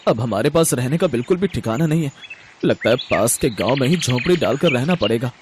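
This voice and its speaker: A man's voice: mid-range voice, animated, fairly quick, background noise, lightly acted. A man voicing an earnest, troubled businessman husband in a cartoon moral story, with a dramatic, plaintive manner. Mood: despairing.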